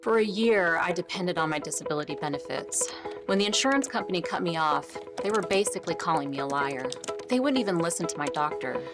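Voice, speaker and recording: A woman with a lowish voice reading a scripted advertisement testimonial. Her delivery is earnest and measured. Loud music plays under her the whole time. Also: animated. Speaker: ad testimonial speaker. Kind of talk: scripted radio ad read. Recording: voice over loud music bed.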